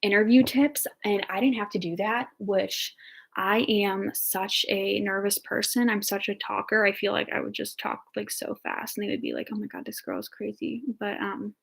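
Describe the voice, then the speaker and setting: A woman's voice: mid-range voice, lively intonation, normal speed, vocal fry, breathy edge. A woman in her early twenties, a nursing student, chatting casually and answering viewer questions in a talkative, upbeat way with lots of fillers. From Ohio, United States. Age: 20s.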